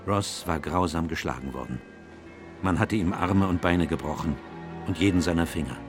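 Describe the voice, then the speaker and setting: Man playing grave gentleman narrator, deep pitch, measured storytelling, solemn, radio drama, music behind the voice. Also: lightly acted. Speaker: narrator of a detective radio play.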